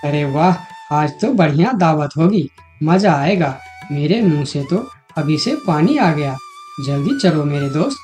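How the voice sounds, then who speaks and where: A man playing a clever, friendly monkey in a moral-story cartoon, speaking in an earnest, expressive way. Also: on the high side, unhurried, soft background music. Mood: cheerful. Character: clever, friendly male monkey, trusting yet shrewd.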